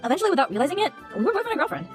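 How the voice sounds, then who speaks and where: A woman's voice: extremely high pitch, big pitch swings, rapid-fire. A woman narrating a personal story. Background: background music.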